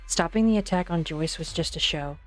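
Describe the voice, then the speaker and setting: Woman playing a grave, low-voiced shrewd doctor. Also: fairly quick, lightly acted. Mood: grave, wary. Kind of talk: fan dub of a game story.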